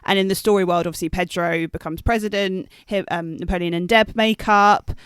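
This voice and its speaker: A woman's voice: lowish voice, steady tone, a little fast, a bit bright, clear, resonant tone, no echo, clean studio sound. A woman co-hosting a casual film podcast, giving her opinions in an analytical, conversational way. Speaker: podcast co-host.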